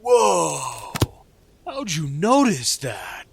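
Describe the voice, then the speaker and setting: A man's voice: on the high side, huge pitch swings, extremely slow, creaky, slight background noise, full character voice. An actor playing a dim, laid-back teenage male wannabe cultist in a comedic horror audio drama, with earnest, hesitant slacker slang. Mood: excited, whiny.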